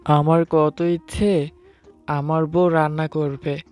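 A man's voice: on the high side, little pitch movement, takes it slowly, a little mellow, clear, resonant tone. A man tells a romantic story in the first person, speaking as an affectionate, teasing husband. His voice sits over a bed of background music. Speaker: narrator and storyteller. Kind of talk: dramatized first-person love story narration. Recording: music under the voice.

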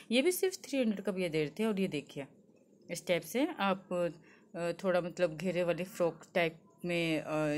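A woman's voice: fairly low pitch, a little mellow. A young woman from Delhi filming a walking tour of a street market on her phone, showing clothes and prices to her viewers in a chatty way, mixing in English words and many fillers. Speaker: shopping vlogger. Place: crowded outdoor street market. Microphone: handheld phone, speaker off-camera.

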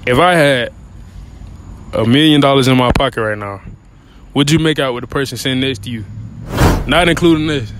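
A man's voice: medium pitch, fairly quick, long pauses, background noise. A young man hosting a casual street interview outdoors at night, talking in loose slang. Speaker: host.

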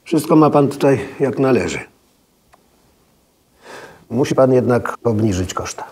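An older actor playing a stern, insistent elderly auditor with a dry manner in a film drama. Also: male voice, mid-range voice, expressive, unhurried, darkish tone, a little hiss or hum, close to an everyday voice. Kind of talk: naturalistic film drama dialogue.

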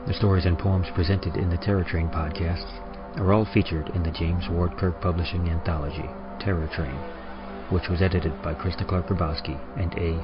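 Deep-voiced man announcing over music. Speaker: podcast narrator. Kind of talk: scripted credits narration. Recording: slightly muffled, music behind.

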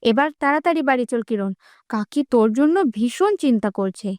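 A woman's voice: a little high, lively intonation, a little fast, a little mellow, no room sound, studio quality, lightly acted. A woman playing a protective young village girl in a cartoon, emphatic and bossy in a familiar way. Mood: caring, firm. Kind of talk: Bengali fairy-tale cartoon dubbing.

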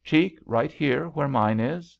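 Male reader as young female swimmer in a storybook: raised pitch, excitable, breathless delivery, dull sound. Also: slow, vocal fry, heavily acted. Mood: tender. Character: spirited young woman swimmer, excitable and tender. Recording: dull, muffled lo-fi recording.

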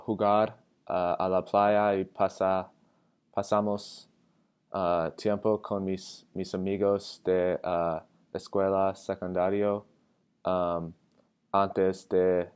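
An eighteen-year-old American man, a native English speaker, giving a halting self-introduction in learner's Spanish and searching for words. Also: low voice, darkish tone, clean tone. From Atlanta, United States. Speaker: college student. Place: indoor room with tall windows. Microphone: webcam framing with wired earphones.

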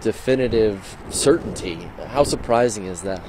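A man in his thirties reports live from an outdoor football stadium at night, holding a handheld microphone. His voice sits low, and he asks his questions earnestly. Faint open-air noise carries behind him. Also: moderate pace, a little mellow, low-quality recording. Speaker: sports reporter. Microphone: handheld mic.

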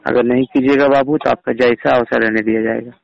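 A man's voice: medium pitch, fairly even intonation, brisk, vocal fry, slight background noise. A man on a recorded phone call, insistently persuading like an official and mixing in English words. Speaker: phone caller posing as an official. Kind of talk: persuasive sales-like phone pitch.

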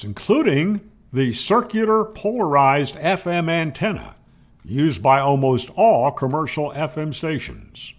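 An older man giving an explanatory technical lecture to camera, emphatic, asking questions and answering them firmly, on a close mic. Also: a little high. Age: older, 60s or 70s. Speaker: ham radio explainer, video host. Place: small home room, indoors. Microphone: close studio mic on boom arm.

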